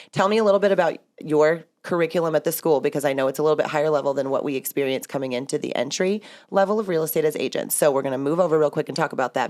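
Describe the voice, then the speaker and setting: A woman's voice: low-pitched, darkish tone. An American woman hosting a podcast in a casual, chatty interviewing style. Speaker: podcast host.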